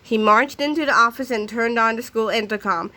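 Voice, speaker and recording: A woman storyteller with a high, bright voice reads a children's book aloud. Her delivery is animated and aimed at young listeners. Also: brisk.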